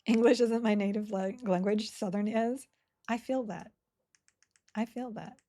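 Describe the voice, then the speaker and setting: A woman's voice: mid-range voice, fairly even intonation, unhurried, mellow. A woman streaming a game live, chatting casually and rambling while she plays and reads chat aloud.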